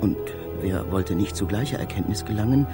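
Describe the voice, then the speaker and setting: An actor playing an awestruck traveller in space, a dreamy and proud poet-philosopher, declaiming in a literary German radio drama. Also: male voice, on the low side, fairly quick, loud music behind the voice, lightly acted. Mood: philosophical wonder. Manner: declamatory, rapturous, theatrical.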